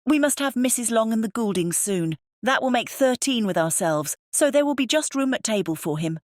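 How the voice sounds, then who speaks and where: A woman's voice: on the high side, expressive, normal speed, a little mellow, no echo, studio quality, lightly acted. A woman voicing a fussy, opinionated middle-aged mother in a dramatized audiobook, emphatic and huffy. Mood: huffy, determined. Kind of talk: dramatized audiobook character acting.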